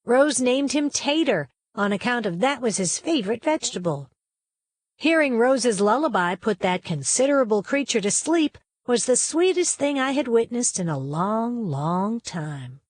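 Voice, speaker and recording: A woman narrates a storybook with expressive, folksy delivery. Her voice sits a little high, and the sound is fairly dry and close. She leans on key words for dramatic emphasis. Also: normal speed, dry recording. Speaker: read-aloud narrator.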